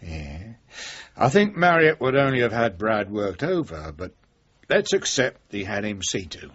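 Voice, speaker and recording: A British actor playing a cornered, wily, middle-aged chief inspector in a radio drama, blunt, sardonic and probing. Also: male voice, lowish voice, big pitch swings, unhurried, fairly bright tone, husky, heavily acted. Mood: probing, cynical. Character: cornered, wily veteran police chief inspector, defiant and cynical. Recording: dull, muffled old radio recording.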